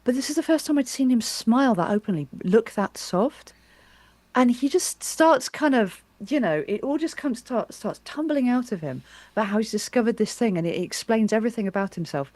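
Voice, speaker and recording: A woman interviewer in reflective, casual conversation, telling anecdotes in a halting, self-correcting way. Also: fairly high pitch, animated, a little mellow, no room sound.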